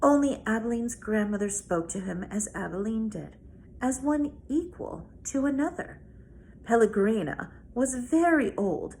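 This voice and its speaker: A woman reads a children's novel aloud as a storyteller, with deliberate, dramatic phrasing. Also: a little high, animated, darkish tone. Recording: noticeable background noise.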